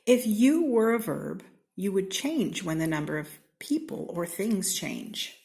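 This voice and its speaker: Lively American woman reading a children's storybook. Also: medium pitch, gravelly. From Seattle, United States. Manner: theatrical, deliberate, with playful emphasis and whispered asides. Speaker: storybook reader. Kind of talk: expressive children's read-aloud.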